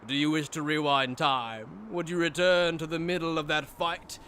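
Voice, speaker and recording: Higher-voiced taunting male villain acted by a man. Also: steady tone, moderate pace, bright, forceful tone, a little hiss or hum. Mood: mocking. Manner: taunting, theatrical. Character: mocking, haughty male villain, sly and taunting. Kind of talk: anime fan dub acting.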